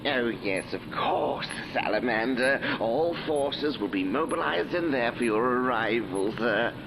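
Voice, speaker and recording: An actor playing a nervous, obsequious zone controller in an audio drama, with hesitant delivery. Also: male voice, mid-range voice, expressive, a little slow, creaky, noisy background. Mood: nervous, deferential.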